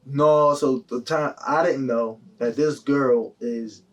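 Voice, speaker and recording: A man's voice: medium pitch, slow, far from the mic. A young man, a guest telling his story in casual slang full of fillers. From Delaware County, Pennsylvania. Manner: animated, heavy slang, lots of fillers.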